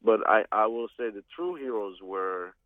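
A man from Somalia calling in to a radio talk show, speaking haltingly with many restarts over a phone line. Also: fairly low pitch, expressive, no echo. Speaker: caller.

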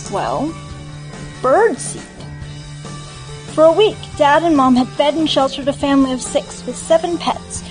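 A woman performing a dramatic, expressive reading of a first-person story, acting out the quoted lines. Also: high-pitched, rough recording. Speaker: actor reading a short story.

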